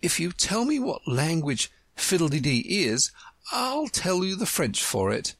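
Storybook role: sensible, polite little girl, voiced by a reader. Raised pitch, earnest, careful, slightly breathy. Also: very animated, slow pace, no room sound, heavily acted. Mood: firm. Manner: grave, careful, eager to answer.

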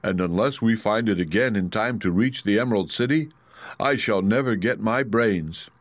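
A man's voice: lowish voice, normal speed, no echo, lightly acted. A man voicing a grumbling, worried scarecrow in a storybook reading.

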